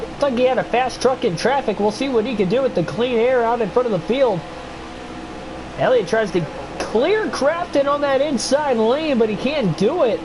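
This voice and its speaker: A man's voice: very high-pitched, normal speed, background noise. Stuffy-nosed young man calling a sim race. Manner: excitable play-by-play, chatty asides to viewers. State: stuffy nose, voice getting worn. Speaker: streamer doing race commentary. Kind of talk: play-by-play sim racing commentary.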